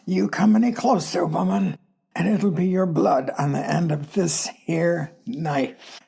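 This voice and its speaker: A raspy, menacing possessed girl. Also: fairly low pitch, warm tone, pitched below the performer's own voice, exaggerated voice. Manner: menacing, slow and hissing. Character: possessed girl speaking as a spiteful old woman.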